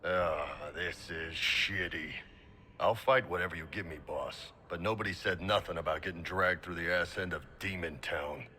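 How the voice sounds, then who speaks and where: An actor playing a big, crude but loyal mercenary in a video game, blunt and slangy. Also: male voice, sits low, normal speed, pushed and bright, a little hiss or hum. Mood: grumbling, sardonic.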